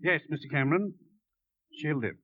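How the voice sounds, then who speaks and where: A calm, authoritative doctor in a 1950s radio drama. His voice sits fairly high. The old recording is dull and dry. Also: normal speed, dry recording. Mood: grave, reassuring. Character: calm, authoritative ship's doctor bearing grave news.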